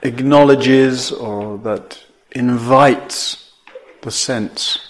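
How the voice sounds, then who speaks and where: A man teaching a guided meditation in measured phrases, with long pauses between them. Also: mid-range voice, fairly even intonation.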